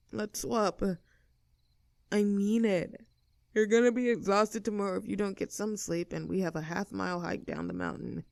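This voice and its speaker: A woman's voice: medium pitch, vocal fry, darkish tone, no room sound, studio quality. A woman playing an easygoing young tomboy with a secret crush in an intimate audio roleplay, casual, teasing and tender in manner. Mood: worried, caring. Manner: casual, teasing, tender, halting when flustered.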